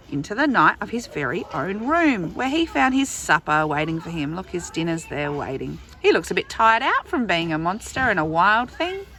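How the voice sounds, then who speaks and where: A woman reading a picture book aloud to young children in an expressive manner, drawing out key phrases and adding little asides about the pictures. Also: medium pitch. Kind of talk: animated read-aloud with asides to young listeners.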